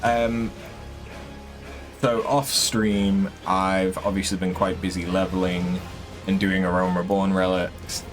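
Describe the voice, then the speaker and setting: A man with a fairly deep voice giving casual, hesitant let's-play commentary, throat a bit strained from voicing characters. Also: flat delivery, soft background music. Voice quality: relatively deep voice. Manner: hesitant, many ums, unhurried. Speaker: gaming streamer.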